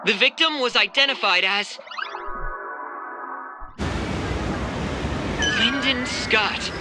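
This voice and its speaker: A woman playing an excitable, polished TV news anchor in a video game, with punchy announcer delivery. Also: a little high, normal speed, heavy background noise, close to an everyday voice. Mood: urgent. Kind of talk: news broadcast parody in a game.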